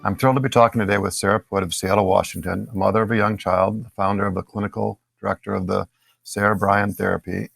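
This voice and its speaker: A man's voice: deep voice, creaky, fairly bright tone, husky, dry recording. A man hosting a podcast, reading a guest introduction and asking short interview questions. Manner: reads introductions, short prompts. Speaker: podcast host.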